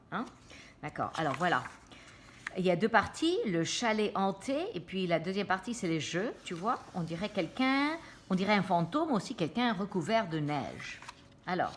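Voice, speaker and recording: A woman reading a storybook aloud to children, pausing to explain pictures and check in with her listeners. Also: mid-range voice, a little hiss or hum. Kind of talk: animated read-aloud with asides to children.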